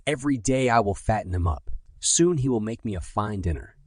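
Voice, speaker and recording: A man voicing a sly old witch in a bedtime story, sharp and gloating, sometimes falsely gentle. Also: fairly low pitch, animated, brisk, no echo, studio quality. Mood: snappish, gloating. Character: sly, snappish old witch who eats children.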